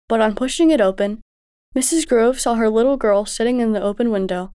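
Higher-voiced woman narrating a story. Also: a little mellow, no echo, clean studio sound.

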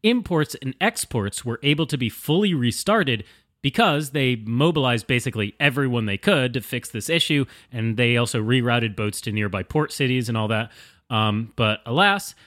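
A man's voice: mid-range voice, fairly bright tone, no echo, studio quality. A man co-hosting a podcast, telling a story in a casual, wry, profane way.

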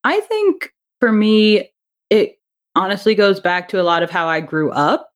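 A woman's voice: mid-range voice, sing-song, unhurried. A woman chatting casually as an interview guest, rambling a little hesitantly and correcting herself as she goes. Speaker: podcast guest, audio drama creator.

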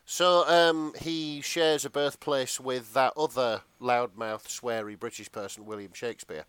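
A man's voice: medium pitch, steady tone, unhurried, fairly bright tone, smooth, dry recording. A man co-hosting a podcast, chatting casually with joking asides. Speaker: podcast co-host. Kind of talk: casual comic banter.

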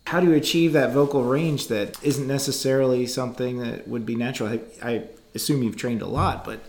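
A man's voice: medium pitch, steady tone, a little fast, darkish tone, roomy sound. A man interviewing a choir director, asking curious questions and affirming the answers.

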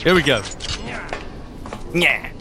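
A man's voice: a little high, lively intonation, takes it slowly, background noise. A man hosting casually, reacting and joking as he gives commands in a voice-controlled game. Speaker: podcast host playing a game.

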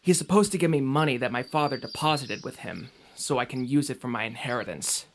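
A man's voice: mid-range voice, brisk, a little hiss or hum, lightly acted. An actor playing a doubtful, earnest young man in an audio drama, speaking in a conversational, questioning way. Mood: somber, explaining.

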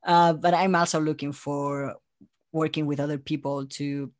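A man chatting casually as an interview guest, telling things in an animated way by quoting himself and others. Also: a little high, moderate pace. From Spain. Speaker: interview guest, audio drama creator. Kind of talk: casual chat.

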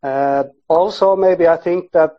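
Man from Finland, slightly high voice, casual interview guest, halting delivery, muffled lo-fi recording. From born in Sweden, grew up in Finland, half Icelandic. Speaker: podcast guest, sports psychology researcher. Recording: dull, lo-fi audio.

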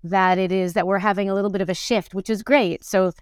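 A woman aged fifty talking as an actor being interviewed, telling things in an animated, emphatic conversational way. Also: mid-range voice, fairly quick, clear, resonant tone, no room sound, clean studio sound. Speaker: interview guest, stage actor in musicals and plays.

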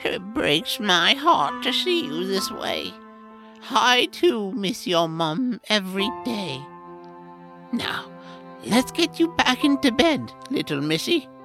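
A woman's voice: mid-range voice, big pitch swings, slow pace, over music. A woman voicing a doting, fussy old grandmother who coddles tenderly in a family fantasy audio drama. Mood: tender, fussing.

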